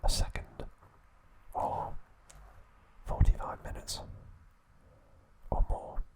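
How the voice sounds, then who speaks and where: Slow, whispering ASMR man. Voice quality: soft, breathy whisper. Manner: gentle, soothing, unhurried delivery in short phrases. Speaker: ASMR channel host. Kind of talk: whispered ASMR trivia narration and sign-off.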